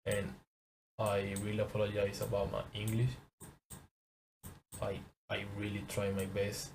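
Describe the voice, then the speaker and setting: A man from Venezuela, a native Spanish speaker, explaining a trading method in English with a Venezuelan accent, in a halting manner with many repeated words and frequent "okay?" check-ins. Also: fairly low pitch, monotone, noisy background. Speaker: trading course instructor. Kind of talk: screen-recorded trading lesson.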